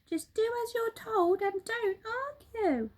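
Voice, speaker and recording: A woman voicing a frenzied, bossy father monkey from a children's storybook, shouting urgent orders excitedly. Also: very high-pitched, slow pace, voice pushed up. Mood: frantic, bossy.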